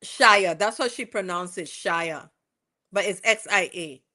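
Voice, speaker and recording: A woman's voice: fairly low pitch, slow, pushed and bright. A woman with a West Indian accent hosting a livestream, chatting casually and rambling with lots of self-corrections as she recaps court testimony. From West Indies. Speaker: livestream host.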